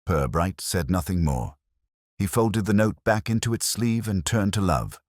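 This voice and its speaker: A man narrating a mystery story in a measured, storytelling manner. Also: deep voice, expressive, dry recording, clean studio sound.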